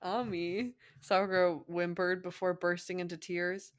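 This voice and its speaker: A young woman narrates fan fiction as a co-host. Her voice sits a little low for a woman. The style is informal story reading with room for casual asides. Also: brisk.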